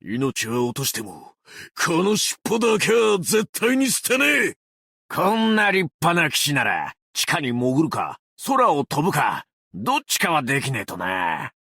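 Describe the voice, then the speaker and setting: A man playing a brash, cocky explosives fanatic with a tail in an anime game, talking in rough, swaggering slang with a gravelly voice. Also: a little high, huge pitch swings, unhurried, sharp tone, no room sound, clean studio sound, exaggerated voice. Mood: teasing, swaggering. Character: brash tailed male explosives fanatic, cocky bureau officer. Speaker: game character voice lines. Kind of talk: Japanese anime game voice acting.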